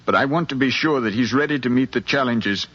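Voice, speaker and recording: An actor playing an earnest, insistent middle-aged son pleading with his mother, in a deliberate, impassioned American radio drama style from the 1950s. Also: mid-range voice, fairly bright tone, breathy edge. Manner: impassioned, deliberate, speechlike with repeated phrases. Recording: dull 1950s radio recording, music.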